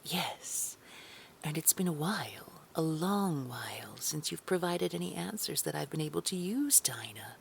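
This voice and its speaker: A low, creaky female boss of a secret order played by a woman actor drips with condescending sweetness. Her threats stay veiled under pet names, often sinking toward a breathy whisper, in audio drama style over faint noise. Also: big pitch swings, a little slow, heavily acted. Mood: cold, threatening. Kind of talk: scripted audio drama roleplay.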